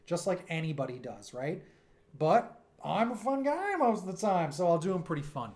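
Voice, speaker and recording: A man's voice: high voice, normal speed. A man in his thirties with a New York accent, chatting casually and animatedly while livestreaming his art from home. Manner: animated, self-deprecating, loose and chatty. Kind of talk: casual rambling livestream chat. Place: home streaming room. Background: soft synth music.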